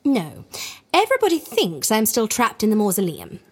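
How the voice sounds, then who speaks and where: A woman's voice: mid-range voice, huge pitch swings, normal speed, breathy edge. An actress playing an imperious, playful and callous young queen of ancient Egypt in an audio drama. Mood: composed. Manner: haughty, teasing.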